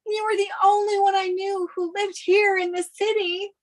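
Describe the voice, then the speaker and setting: A woman's voice: extremely high pitch, little pitch movement, moderate pace. A woman playing a flustered, anxious young runaway bride in a sitcom table read. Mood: frantic.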